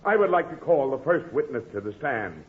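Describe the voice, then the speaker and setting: An actor playing a stern, formal courtroom prosecutor with impatient, declamatory delivery in 1940s radio comedy style. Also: male voice, medium pitch, sing-song, normal speed, lightly acted. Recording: muffled, noisy old radio recording.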